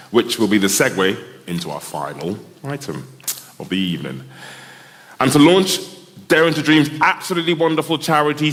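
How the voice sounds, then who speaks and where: A man hosting a live festival event, talking hesitantly with many ums. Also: medium pitch. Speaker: festival host. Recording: long reverb of a live venue. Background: loud music.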